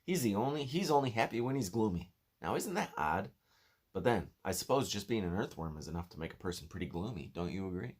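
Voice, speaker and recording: A man's voice: fairly low pitch, expressive, quick pace, slightly rough. A man voicing a sympathetic, chatty, slightly anxious female ladybird with a confiding manner in a storybook. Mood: confiding, pitying.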